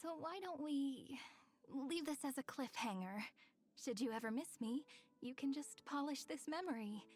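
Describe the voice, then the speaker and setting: A woman's voice: sits high, lively intonation, moderate pace, darkish tone. An actress voicing a playful, tender young fantasy woman in an anime-style game, speaking coyly and intimately to the listener. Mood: tender, wistful. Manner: coy, affectionate, addressing the listener intimately. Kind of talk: anime game character voice acting. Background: background music.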